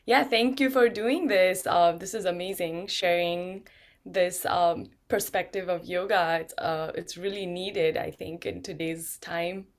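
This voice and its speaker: Thoughtful woman from Nepal, lowish voice. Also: steady tone, unhurried. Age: middle-aged. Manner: thoughtful, many ums and restarts. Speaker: guest, neuroscientist and yoga teacher. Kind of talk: casual interview talk.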